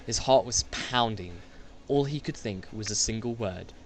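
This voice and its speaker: A young man with a fairly low voice narrates an amateur radio play. He reads from a script in a dramatic storytelling style. There is slight noise behind him. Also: measured pace. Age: young adult.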